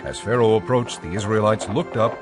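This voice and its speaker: A man narrating dramatized scripture in a measured, formal way. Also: lowish voice. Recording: dramatic music behind voice.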